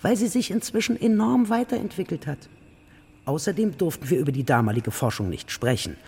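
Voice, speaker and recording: An older woman playing a spirited, affectionate grandmother in a radio play, talking in a lively way. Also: low voice, breathy edge, full character voice. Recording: reverberant hall, music behind.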